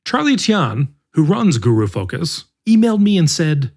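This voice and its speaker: A man narrating an article in the first person as the regular reader of a podcast, with a measured, expressive delivery. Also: fairly high pitch. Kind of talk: scripted narration.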